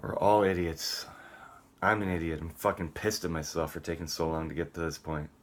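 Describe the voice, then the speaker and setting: A man's voice: sits low, flat delivery, brisk. A man on a rambling solo vlog rant, profane and combative, swinging between muttering and outbursts. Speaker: vlogger.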